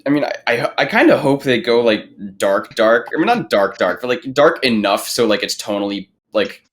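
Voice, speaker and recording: A man's voice: medium pitch, lively intonation, moderate pace, a bit bright, a little hiss or hum. A man chatting casually on a podcast panel, rambling along and leaning heavily on filler words. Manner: rambling, filler-heavy with frequent "like". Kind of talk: casual chat.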